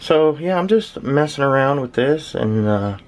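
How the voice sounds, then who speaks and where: A man's voice: medium pitch, lively intonation, unhurried, a little hiss or hum. A man giving a casual, unscripted hobby demonstration, rambling and hesitant as he thinks aloud. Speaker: hobbyist shortwave listener presenting own station. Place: small uninsulated shed with workbench.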